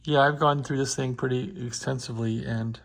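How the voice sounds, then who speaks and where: A man's voice: medium pitch, steady tone, normal speed, gravelly, a little hiss or hum. A man giving a casual hands-on demo of restored equipment, chatty and explaining as he works at an indoor workbench. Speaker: equipment restorer demonstrating a receiver.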